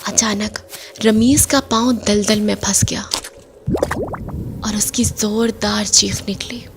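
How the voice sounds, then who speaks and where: A woman's voice: medium pitch, little pitch movement, slow, creaky, background noise. A woman giving a theatrical, suspenseful narration of a horror audio drama. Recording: loud music over the voice. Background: loud background music.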